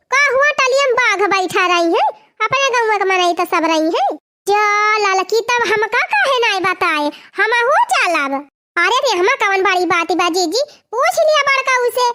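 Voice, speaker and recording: A woman's voice: very high voice, a bit bright. A woman voicing a feisty, chatty village sister-in-law in a cartoon comedy, with an Awadhi accent and a rustic, complaining manner.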